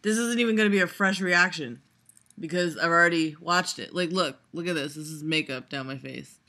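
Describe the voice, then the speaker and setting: A woman's voice: fairly low pitch, a little fast, sharp tone. A young woman vlogger giving a sarcastic, profane reaction, rambling with lots of laughs and fillers. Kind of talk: casual reaction commentary.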